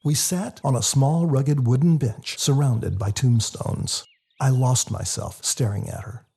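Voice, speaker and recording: A man reading a novel aloud as a first-person dramatic narrator, in a confessional, expressive storytelling manner. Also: mid-range voice, measured pace, creaky, husky. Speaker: author reading their own novel. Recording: music under the voice. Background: music.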